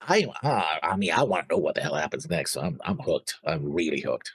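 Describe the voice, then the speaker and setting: A man co-hosting a reaction show, chatting casually in a halting, excitable way. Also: rumbling low, breathy edge, dry recording, clean studio sound. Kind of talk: casual chat about an anime episode.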